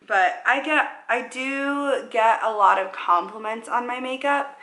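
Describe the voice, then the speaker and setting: A woman's voice: a little high, unhurried, bright, forceful tone. A young woman in her twenties chatting through a makeup tutorial at home, rambling and poking fun at herself as she works. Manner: rambling, self-deprecating, talks while applying makeup. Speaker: vlogger. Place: living room at home, indoors. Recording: echoey room.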